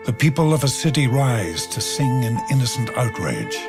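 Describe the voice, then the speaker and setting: A man playing a solemn, measured narrator who recites poetic prose in a radio play. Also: medium pitch, very animated. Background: loud music with fiddle.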